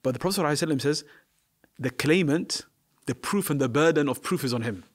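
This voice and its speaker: Quick emphatic guy on a podcast, pushing his point hard, lots of rhetorical questions. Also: a little high. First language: not Arabic. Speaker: podcast guest, Quran teacher. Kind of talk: podcast conversation.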